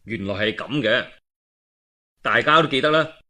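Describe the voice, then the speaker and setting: A man's voice: mid-range voice, normal speed. A man with a Cantonese accent telling a historical tale in a colloquial, dramatic oral storytelling style. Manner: colloquial, animated, dramatic asides.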